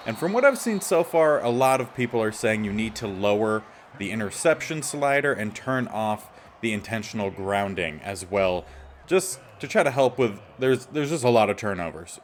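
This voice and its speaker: A man with a slightly raspy voice giving casual gameplay commentary as the host of a gaming series. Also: fairly low pitch, a little hiss or hum.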